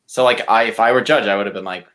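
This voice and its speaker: A man's voice: lowish voice, quick pace, background noise. Blunt, sweary man in casual debate. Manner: blunt, profane, argumentative. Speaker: podcast contestant pitching movie reboots.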